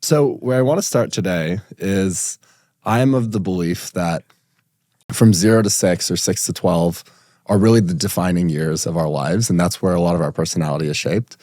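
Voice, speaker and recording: A man's voice: sits low, normal speed, dark tone, no echo, clean studio sound. A man hosting a podcast, asking curious, casual questions and often restarting them. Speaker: podcast host.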